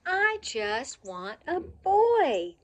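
A woman voicing an eager little male puppy who wants a boy, in a pleading, high, loud voice. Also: big pitch swings, slow pace, slight background noise, full character voice.

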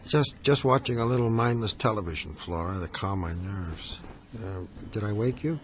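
A man's voice: on the low side, moderate pace, a little hiss or hum, close to an everyday voice. An actor playing a prim, pompous married man in a radio drama, stammering when flustered. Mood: uneasy. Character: prim, self-righteous married man, easily rattled. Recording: radio play with music underneath.

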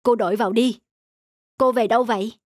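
Woman as an insistent high-voiced young taxi driver. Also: steady tone, fairly quick, noisy background, lightly acted. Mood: businesslike. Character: stubborn, money-worried young male motorbike taxi driver. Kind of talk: cartoon drama acting.

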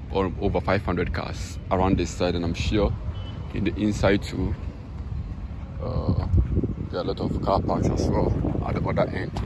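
A Ghanaian man with a low-pitched voice presents an informal walking commentary for sports viewers. He checks in with his audience often as he goes. The handheld outdoor recording carries very heavy background noise. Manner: informal, frequent "you understand" check-ins. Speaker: sports channel host. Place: outdoors, open stadium grounds and car park.

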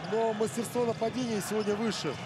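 A high-voiced man breaks down a basketball game in careful, hesitant sentences, pausing often with fillers. Loud arena noise rumbles behind his voice. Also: level tone. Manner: analytical, halting with many fillers. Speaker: expert co-commentator. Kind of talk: live basketball commentary.

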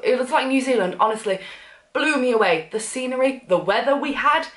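A woman, chatting to camera in a casual, animated way, sounding a little blocked up from a cough. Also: mid-range voice, echoey room. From England. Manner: chatty, animated, gestures while talking. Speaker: vlogger. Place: sunroom with sofa, indoors.

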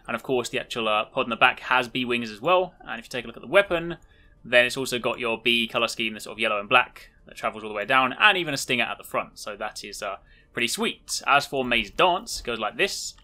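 A man's voice: medium pitch, fast talker, sharp tone. A man giving casual video game commentary as the host of a showcase video.

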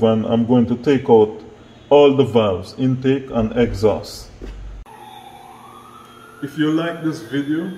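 A man's voice: medium pitch, dark tone. A middle-aged man, a mechanic giving plain-spoken, step-by-step hands-on instruction. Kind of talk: hands-on tutorial narration. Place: small bare-walled indoor auto workshop. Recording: echoey hard-walled room, noticeable background noise.

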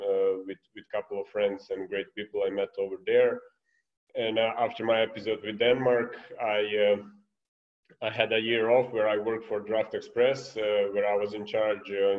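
A man in his thirties with a Serbian accent, a basketball coach speaking conversationally as a guest on a muffled, echoey video call. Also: on the low side. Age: 30s. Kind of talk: conversational online presentation. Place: bright room indoors by a window.

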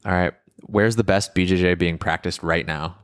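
Deep-voiced male podcast host. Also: fairly even intonation, normal speed, smooth. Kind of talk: casual chat, quickfire questioning. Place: small indoor podcast studio. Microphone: podcast microphone up close.

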